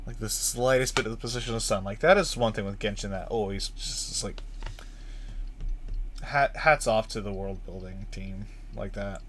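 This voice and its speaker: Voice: deep voice, moderate pace. A streamer giving casual live gameplay commentary in rambling, reactive asides. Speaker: game streamer. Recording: noisy livestream with game sound behind. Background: game ambience.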